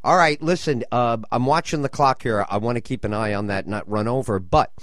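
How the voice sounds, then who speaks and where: A man's voice: medium pitch, brisk, a bit bright, no room sound, clean studio sound. A man hosting a conversational radio talk show, with an effusive manner and dramatic phrasing.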